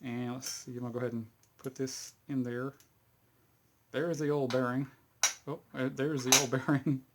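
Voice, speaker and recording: A man's voice: medium pitch, little pitch movement, normal speed, background noise. Folksy Texan man demonstrating a gadget. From Texas. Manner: rambling, folksy, chuckles often, many fillers. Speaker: YouTube hobbyist demonstrating fidget spinner cleaning. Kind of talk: casual how-to demonstration.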